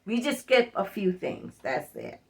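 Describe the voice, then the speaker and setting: A woman's voice: medium pitch, huge pitch swings, unhurried, vocal fry. A middle-aged woman chatting casually as she hosts a demonstration video, repeating her points for emphasis. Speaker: prepper vlog host. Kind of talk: casual product demonstration chat.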